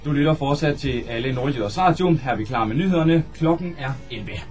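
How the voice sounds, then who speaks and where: A young man presenting live on the radio as host, in a small studio on a boom microphone. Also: lively intonation. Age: young adult. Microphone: studio microphone on boom arm. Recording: music behind, old videotape aircheck. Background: music.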